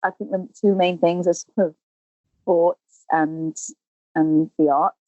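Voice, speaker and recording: A Welsh woman in her thirties with a lowish voice tells stories in a loose, chatty way, filling the gaps with ums and false starts. The sound is dry and a little dull, like a home setup with a muffled, band-limited mic. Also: steady tone, slow pace, no echo. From Wales. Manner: chatty, warm storytelling with many ums and restarts. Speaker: podcast guest, actress and singer. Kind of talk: casual interview chat.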